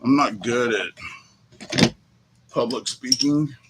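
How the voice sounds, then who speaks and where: A man's voice: medium pitch, extremely slow, very noisy. A man chatting casually and hesitantly while doing leatherwork, rambling and doubting himself. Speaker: leatherworker vlogger. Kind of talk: casual chat while working. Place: home workshop.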